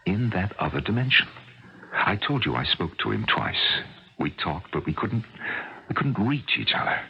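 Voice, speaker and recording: Deep, gravelly scientist father. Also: big pitch swings, exaggerated voice. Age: middle-aged. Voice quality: gravelly, husky. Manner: hushed, deliberate, earnest. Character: grave middle-aged scientist father, anxious but courteous. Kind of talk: 1980 radio mystery drama acting. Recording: dull old radio recording.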